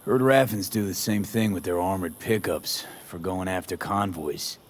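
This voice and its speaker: A man's voice: low-pitched, normal speed, a little hiss or hum, close to an everyday voice. A man playing a streetwise mercenary in a dystopian video game, talking in a clipped, casual, dry way. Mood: casual interest. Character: terse, streetwise male mercenary in dystopian future.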